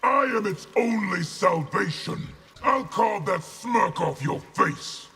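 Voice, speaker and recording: A man playing a big, proud warlord general with a rough voice, scornful and commanding in fighting-game dialogue. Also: high voice, lively intonation, slow pace, sharp tone, heavily acted. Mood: furious. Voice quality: rough, raspy.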